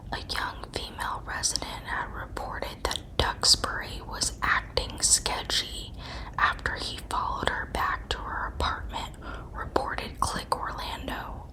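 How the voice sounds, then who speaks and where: Slow whispering woman narrator. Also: fairly high pitch, poor audio. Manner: hushed, measured, factual storytelling. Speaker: narrator of true crime ASMR. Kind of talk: whispered ASMR scripted narration.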